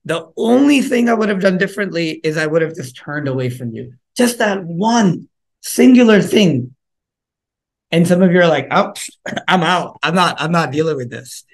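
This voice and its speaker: A man's voice: a little high, animated, moderate pace, creaky. A man presenting a parenting workshop over a video call, talking casually with the audience, asking questions and often repeating words as he thinks. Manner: conversational, engaging the audience with questions; frequent fillers and repeated words. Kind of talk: interactive parenting workshop talk.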